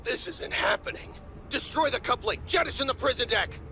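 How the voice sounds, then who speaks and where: Very high, strained, urgent officer. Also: male voice, lively intonation, moderate pace, vocal fry, pushed and bright, soft background music, full character voice. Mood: panicked. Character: panicked, urgent male military officer. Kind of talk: dramatic video game cutscene acting.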